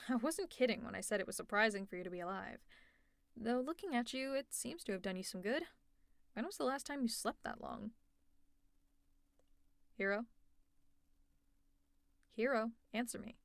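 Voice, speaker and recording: Sarcastic, high-voiced supervillainess, acted by a woman. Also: normal speed, vocal fry, long pauses, no room sound, clean studio sound. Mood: amused, surprised. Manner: sarcastic, teasing, conversational. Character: teasing, exasperated, secretly caring female supervillain. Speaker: audio roleplay performer. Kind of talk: ASMR roleplay acting.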